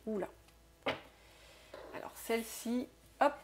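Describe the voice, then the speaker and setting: A woman with a stuffy, congested nose from a cold, giving an intuitive oracle card reading to her viewers, musing aloud softly and often tagging her sentences with "hein". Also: fairly high pitch. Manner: soft, unhurried, musing aloud with frequent "hein". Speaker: soul therapist and oracle card reader.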